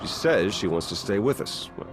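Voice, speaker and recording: Alliance officer in a game, low voice, matter of fact, loud music under it. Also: male voice, very animated, moderate pace. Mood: reporting. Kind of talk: video game voice acting.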